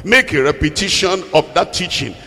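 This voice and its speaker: A man's voice: a little high, expressive, moderate pace, sharp tone. A man preaching a sermon as a Bible teacher, exhorting and repeating phrases for emphasis. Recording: long hall reverb.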